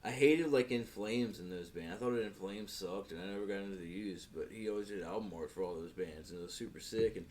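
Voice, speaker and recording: A man's voice: deep voice, a little fast, hardly any pauses, a little mellow, slight background noise. A man who is a tattoo artist, a guest on a casual podcast, rambling in a laid-back way with plenty of swearing. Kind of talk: casual chat. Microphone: podcast microphone.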